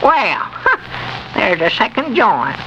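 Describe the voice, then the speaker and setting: High, creaky, wheedling country bumpkin. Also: male voice, huge pitch swings, takes it slowly, bright, forceful tone, full character voice. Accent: rural Southern American. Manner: hesitant, stammering, chuckling drawl. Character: wheedling, hungry country bumpkin man, simple and eager. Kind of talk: 1930s radio comedy acting. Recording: muffled, noisy 1930s radio recording.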